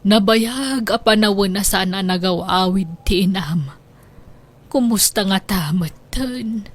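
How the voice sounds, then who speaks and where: A woman acting an elderly, devout grandmother in a radio drama, gentle and sighing as she consoles and worries. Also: mid-range voice, slow. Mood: wistful, consoling.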